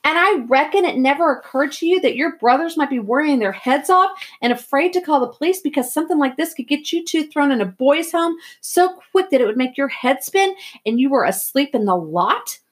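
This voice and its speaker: A woman reading a story, voicing a stern, hot-tempered older brother who scolds in loud exasperation. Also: high-pitched, expressive, a little fast, voice pushed up. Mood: furious.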